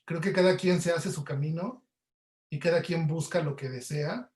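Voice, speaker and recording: A man's voice: a little high, moderate pace, darkish tone. A man with a Mexican accent giving casual answers as an audio producer being interviewed in an online webinar. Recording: roomy, echoey sound.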